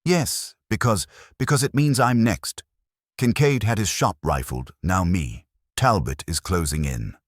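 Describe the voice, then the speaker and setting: A man voicing a twitchy, desperate, frightened man in an audiobook, speaking in a nervous, urgent, halting way. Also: sits low, no echo, clean studio sound. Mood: pleading, fearful.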